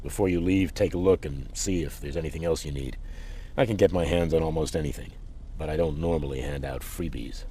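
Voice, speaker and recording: An actor playing a gruff, businesslike resistance supplier in a post-apocalyptic video game, measured and matter-of-fact. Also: male voice, extremely low pitch, warm tone, a little hiss or hum.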